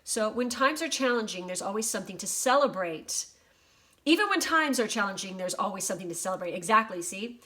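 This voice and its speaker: A woman with a slightly worn, hoarse voice giving a casual general oracle card reading, swearing freely. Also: medium pitch, lively intonation, fairly quick, bright, forceful tone. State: voice going hoarse. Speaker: tarot reader.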